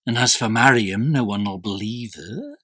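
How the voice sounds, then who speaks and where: A man acting a troubled, doubting disciple in a dramatised ancient religious text, speaking intensely and deliberately in short, broken phrases. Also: on the low side, lively intonation, bright, forceful tone, no echo, studio quality. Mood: bitter, torn.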